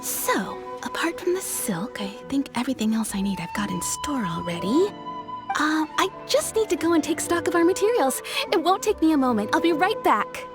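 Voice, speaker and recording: A cheery shopkeeper. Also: female voice, high voice, big pitch swings, music in the background. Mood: friendly. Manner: brisk, helpful, customer-service polite. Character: friendly shopkeeper woman, businesslike. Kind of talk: game voice acting.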